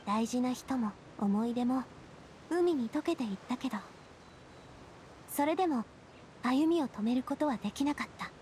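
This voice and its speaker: アニメ調ゲームで、穏やかで茶目っ気のある若い女性リーダーを演じる女性。くだけた女性らしい口調で真摯に話す。. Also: female voice, high voice, lively intonation, normal speed, pauses often, a little hiss or hum. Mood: wistful, determined. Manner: casual, feminine, softly earnest. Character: bright, resilient young woman leader, gentle and playful. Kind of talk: Japanese anime game voice acting.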